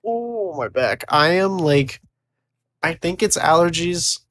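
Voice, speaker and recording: A man's voice: expressive, slow, fairly bright tone, dry recording, clean studio sound. A young man co-hosting a casual podcast, stuffy and sniffly with allergies, talking in a hesitant, hedging way. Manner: hesitant, hedging, low-key. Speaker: podcast co-host. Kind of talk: casual film review chat.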